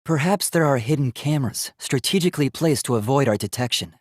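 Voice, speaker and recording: A man's voice: medium pitch, lively intonation, brisk, dry recording, clean studio sound, lightly acted. A man voicing a polite, earnest, logical young male robot in a video game, speaking formally and precisely. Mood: analytical. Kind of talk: English video game voice acting.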